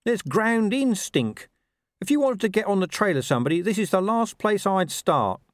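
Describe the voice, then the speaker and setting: Man putting on a high, cynical little talking dog. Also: sing-song, normal speed, dry recording, clean studio sound. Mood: sardonic, nosy. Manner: chatty, sardonic, streetwise colloquial talk.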